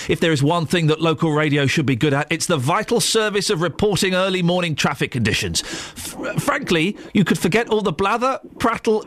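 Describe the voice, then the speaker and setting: A man's voice: sits high, a bit bright. A man hosting a radio phone-in, teasing and playful, a little bunged up. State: bunged up nose. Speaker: radio presenter. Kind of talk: casual radio phone-in chat.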